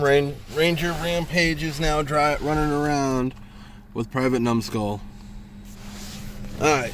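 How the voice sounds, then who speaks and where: A man giving a casual, plainspoken DIY walkthrough from inside a truck cab, grumbling mildly as he points things out. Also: mid-range voice. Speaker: vlogger showing a DIY truck install. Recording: handheld camera audio with faint vehicle noise.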